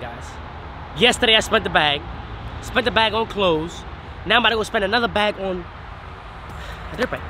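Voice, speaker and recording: A man's voice: high voice, noisy background. A young man hosting a vlog, talking to viewers in hyped, slangy casual chat while stuffy-nosed from a sinus infection, first on a road outdoors and then in a car. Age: young adult. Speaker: vlog host.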